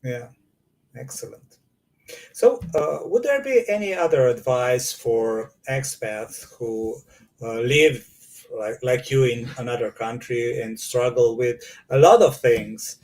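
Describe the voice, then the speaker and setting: A man's voice: medium pitch, slow, a little mellow. A man hosting an interview in English, asking friendly questions with some restarts. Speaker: host. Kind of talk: conversational interview.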